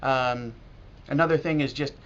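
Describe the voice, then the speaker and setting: An American man talking casually and reflectively in a video blog as a language learner, halting now and then with pauses. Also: medium pitch, fairly bright tone. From United States. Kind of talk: casual talk to camera about learning. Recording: dull lo-fi audio with clear noise.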